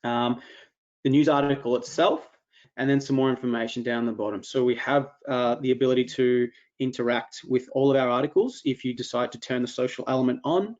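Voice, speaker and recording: A man walking through a software demo in a webinar, talking loosely with many ums and restarts. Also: mid-range voice, brisk, lo-fi sound. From Australia. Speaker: product presenter.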